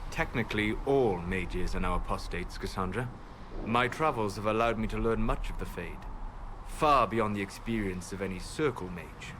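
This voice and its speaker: A man playing a calm, scholarly wandering mage in a fantasy game, measured and precise. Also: on the low side, lightly acted. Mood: calm, dry. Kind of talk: dramatic fantasy game acting. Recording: clearly noisy outdoor game ambience.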